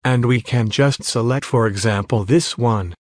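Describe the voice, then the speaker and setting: A man giving step-by-step software tutorial narration in a plain, instructional manner. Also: mid-range voice, normal speed, no echo, studio quality. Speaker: tutorial presenter.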